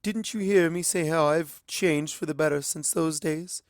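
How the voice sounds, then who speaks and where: Man voicing a measured, sorrowful pony princess. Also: on the high side, no echo, clean studio sound, voice pushed up, close to an everyday voice. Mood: somber, formal. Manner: formal, measured speech. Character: sorrowful, formal immortal alicorn princess mare.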